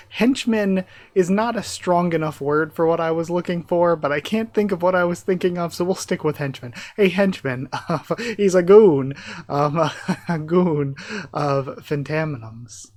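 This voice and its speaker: A man's voice: on the high side, a little mellow, dry recording. A man giving a casual live reaction as host, thinking aloud and speculating as he goes. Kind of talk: live reaction, reading comic dialogue aloud with casual commentary.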